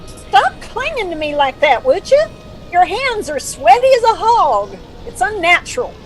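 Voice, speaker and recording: A woman's voice: very high voice, very animated, a little slow, full character voice. An actress playing a confused, forgetful mother in an audio drama, fretful and scolding as she complains.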